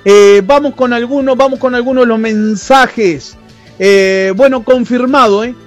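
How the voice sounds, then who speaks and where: A man's voice: very high-pitched, measured pace, soft background music. A man with a Uruguayan accent hosting a radio show, chatting casually and reading listener messages with many fillers and restarts. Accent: Uruguayan Spanish. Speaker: radio host.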